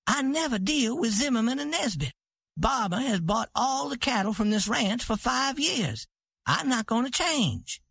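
A man voicing a proud, commanding woman who owns a cattle ranch, with a high, clipped, insistent way of talking. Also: big pitch swings, unhurried, creaky, fairly bright tone, slightly rough, no echo, exaggerated voice.

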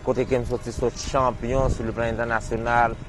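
A man, a business owner answering interview questions haltingly, with some repeated words. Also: medium pitch, flat delivery.

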